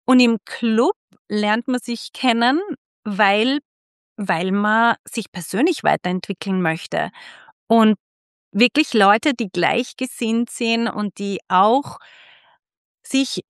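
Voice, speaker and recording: Woman host, from Austria, middle-aged, higher voice, smooth clear tone, dry close sound, chatty, laughs easily. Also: takes it slowly, no room sound. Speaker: podcast host and club founder. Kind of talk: casual conversational interview.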